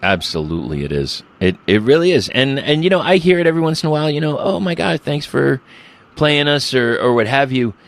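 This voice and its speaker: A man's voice: mid-range voice, big pitch swings, brisk, creaky. A man hosting a casual podcast interview, talking in a loose, rambling way with the odd swear word. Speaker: podcast host.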